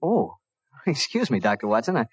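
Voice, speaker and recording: A man's voice: medium pitch, wildly swooping pitch, normal speed, breathy edge, dry recording, heavily acted. A man playing a polite, enthusiastic announcer and host in a 1940s radio drama, on a muffled old recording.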